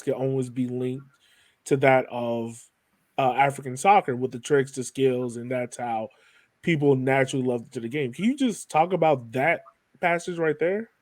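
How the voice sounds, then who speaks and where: A man's voice: medium pitch, a little mellow, no room sound. A man hosting a podcast, chatting informally and familiarly as he interviews a guest. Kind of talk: casual conversational podcast interview.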